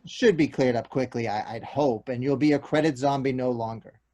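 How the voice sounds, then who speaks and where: An actor playing a courteous, apologetic police detective who explains bad news in a measured, official way at a table read. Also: male voice, mid-range voice, darkish tone, highs cut off, natural voice. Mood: reassuring. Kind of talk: stage comedy-drama table read.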